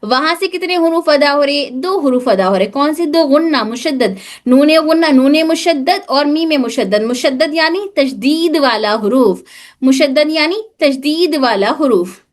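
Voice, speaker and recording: A woman's voice: sits high, lively intonation, normal speed. A woman teacher explaining a recitation lesson live, drilling points by asking questions and repeating the answers, mixing in English words. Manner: mixes English words into Hindi speech.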